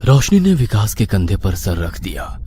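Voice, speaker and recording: A man's voice: fairly low pitch, very animated, normal speed, mellow, husky, faint music behind. A man narrating a horror story in a theatrical, suspenseful manner.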